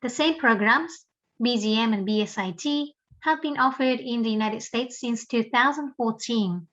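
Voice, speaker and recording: A woman narrates a university promo in a measured, explanatory read. Her voice sits a little high. The recording is dull and lo-fi. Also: a bit bright. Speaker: program narrator. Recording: dull lo-fi sound.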